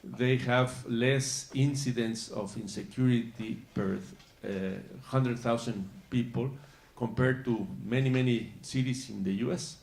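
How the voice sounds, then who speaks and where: A man from Mexico answering questions on a conference panel, speaking haltingly with many pauses. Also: mid-range voice, steady tone, gravelly, a little mellow. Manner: halting, many uh fillers and restarts. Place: conference hall. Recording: roomy conference hall.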